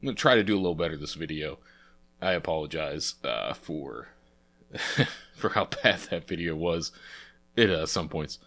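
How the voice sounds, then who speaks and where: A deep-voiced young man hosts a relaxed game playthrough, sounding congested and sniffly from a cold. He rambles along casually, dropping frequent fillers as he clicks through. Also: normal speed. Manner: chatty, rambling, lots of uh fillers. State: stuffy nose from a cold. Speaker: let's play host.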